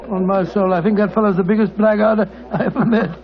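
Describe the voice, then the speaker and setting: An actor playing a genial, easily shocked English gentleman companion to a detective, blustering and hesitant, with a British accent, in old-time radio style. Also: high-pitched, fairly even intonation, brisk, natural voice, poor audio. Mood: flustered. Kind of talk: 1940s radio acting.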